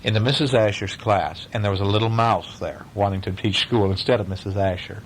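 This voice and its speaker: A man's voice: fairly low pitch, fairly even intonation, a little fast, slight background noise, low-quality recording. A man telling a bedtime story aloud, with chatty, questioning asides as if to a child. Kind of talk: animated bedtime story reading with casual asides.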